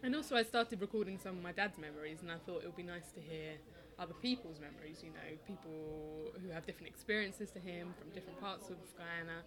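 A young woman with an English accent talks through her project in a loose, chatty way, pausing on ums and restarting sentences. Her voice sits a little low for a woman. A crowd murmurs clearly in the background. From England. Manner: chatty, hesitant, many ums and restarts, laughs often. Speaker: interview guest, oral history project maker. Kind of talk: casual interview answers.

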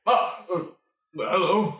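A man's voice: sits high, slow pace, fairly bright tone, recorded from a distance. A man acting a nervous young lawyer in an improvised radio play, muttering hesitantly to himself. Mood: wary. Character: nervous young lawyer walking home alone at night. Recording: echoey room, off the mic.

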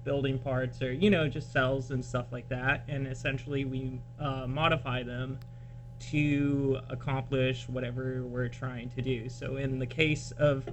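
A man speaks as an interview guest with a mid-pitched voice. He explains technical things in informal, slightly halting phrases. Soft guitar music plays behind him. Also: flat delivery. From born in California, grew up partly in Australia. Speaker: podcast guest, biochemist. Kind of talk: casual interview, explaining work.

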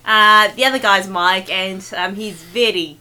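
A woman with a New Zealand accent co-hosting a podcast, playful and teasing with deadpan jokes. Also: mid-range voice, unhurried, smooth. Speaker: podcast co-host. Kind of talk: casual podcast banter.

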